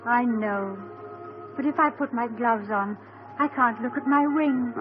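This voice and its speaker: A woman playing a gentle, refined young wife. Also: on the high side, a little slow, narrow, tinny audio, natural voice. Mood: tender. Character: affectionate young wife, a former actress from England. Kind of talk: 1950s radio drama acting. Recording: old radio broadcast recording with music.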